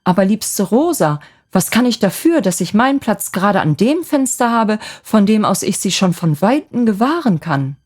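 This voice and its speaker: An ardent young man from a storybook romance, acted out by a woman reader. He is cheerful and wheedling, pressing his case eagerly with very lively intonation. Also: medium pitch, moderate pace, hardly any pauses, soft background music, voice pushed up. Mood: wooing.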